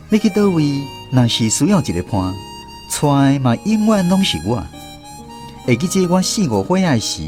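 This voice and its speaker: A man's voice: mid-range voice, natural voice. A man with a Taiwanese accent narrating a radio drama as a grown grandson fondly recalling his grandfather. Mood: fond reminiscing. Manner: expressive, reminiscing storytelling.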